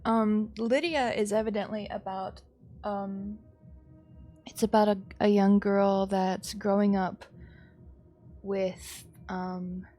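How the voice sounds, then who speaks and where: A woman's voice: medium pitch, level tone, slow, dark tone. A woman streaming a game with casual, informal live commentary.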